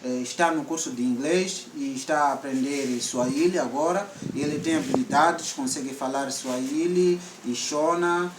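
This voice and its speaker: A man's voice: a little high, moderate pace, hardly any pauses, reverberant, recorded from a distance, noisy background. A man from Mozambique with a central Mozambican accent, hosting a casual vlog chat and switching between English and Portuguese with many fillers. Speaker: vlog host.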